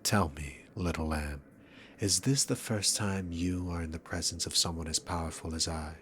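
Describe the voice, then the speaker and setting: A man's voice: sits low, normal speed, fairly bright tone, slight background noise. A man voicing a dominant, sarcastic demon king in an ASMR roleplay, taunting the listener with theatrical, arrogant condescension. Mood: smug, probing.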